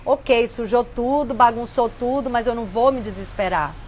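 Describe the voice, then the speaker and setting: A woman's voice: a little high, moderate pace. Woman, 50s, host of a reflective chat show, earnest and conversational, faint noise under the voice. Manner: warm, earnest, conversational with frequent "né". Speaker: host answering viewer questions. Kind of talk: casual reflective talk.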